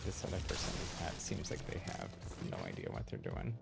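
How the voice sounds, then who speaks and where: A man's voice: extremely low pitch, wildly swooping pitch, normal speed, vocal fry, over music. A man giving casual live gameplay commentary, chatty and thinking aloud as he plays. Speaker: livestream gamer.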